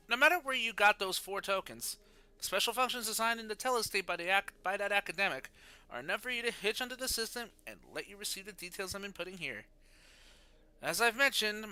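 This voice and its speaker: Man, game streamer, very bright voice, high pitch, animated, playful commentary, soft music behind. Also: brisk, clean tone. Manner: animated, playful, sound-effect exclamations. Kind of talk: casual gameplay commentary and reading game text aloud. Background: faint game music.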